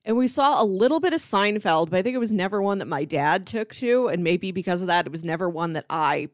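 A woman's voice: fairly low pitch, expressive, fast talker, no room sound. Woman chatting casually, dry close sound. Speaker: podcast co-host. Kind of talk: casual chat about TV sitcoms.

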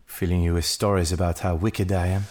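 A man's voice: low voice, little pitch movement, a little fast, a little mellow, a little hiss or hum, close to an everyday voice. An actor playing a proud, controlling husband and father in an audio drama. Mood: stern, defensive.

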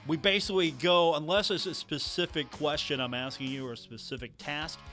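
A man's voice: on the high side, fairly quick, pushed and bright, soft background music. A man hosting a live sports talk radio show.

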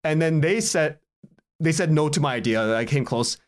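Chatty man, slightly high voice. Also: animated, normal speed. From Canada. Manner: chatty, acts out quoted dialogue, chuckles while talking. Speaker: podcast guest, writer and podcaster. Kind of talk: animated casual storytelling in an interview.

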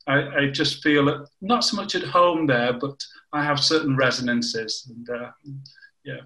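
A man's voice: medium pitch, measured pace, sharp tone, reverberant, poor audio. An older man from the north of England with a northern English accent, telling anecdotes in an interview and often restarting his sentences. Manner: anecdotal, self-correcting with frequent restarts. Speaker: interviewee, former scientist. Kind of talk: conversational interview.

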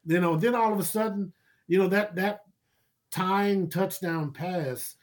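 A man's voice: sits high, measured pace. A man, chatting casually about sports, rambling and laughing often. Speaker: podcast guest.

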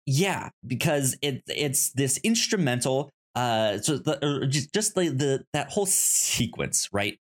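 A man hosting a podcast in a casual, chatty style, sometimes halting and repeating words as he searches for phrasing. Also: mid-range voice, animated. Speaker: podcast host.

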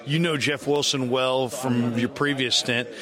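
Male American sports reporter, conversational interviewer, mid-range voice, recorded amid clear locker-room chatter. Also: mid-range voice, steady tone, unhurried. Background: crowd chatter.

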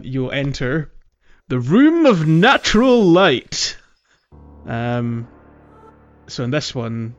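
A man's voice: medium pitch, dull audio. A man hosting a podcast, casually explaining how a video game plays. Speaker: podcast host.

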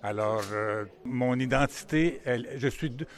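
An older man with a Franco-Ontarian French accent speaks in a measured tone. His voice sits at a middle pitch and stays steady. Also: gravelly.

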